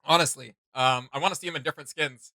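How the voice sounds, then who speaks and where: A man's voice: fairly high pitch, fast talker, sharp tone, no room sound. A man hosting a casual reaction commentary, talking in an enthusiastic, rambling way. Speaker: reaction video host.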